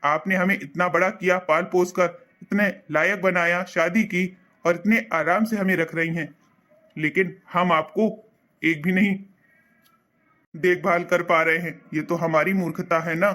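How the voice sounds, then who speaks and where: A man's voice: sits high, fairly even intonation, measured pace, bright, forceful tone. An actor voicing an earnest young son in a cartoon, reassuring his parents in a heartfelt way. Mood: pleading.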